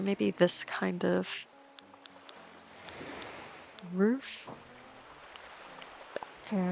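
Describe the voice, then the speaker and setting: A woman's voice: medium pitch, vocal fry, a little hiss or hum. A woman casually commenting on her gameplay as host, easygoing and chatty with plenty of ums, a little sniffly as she gets over a cold. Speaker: let's play host.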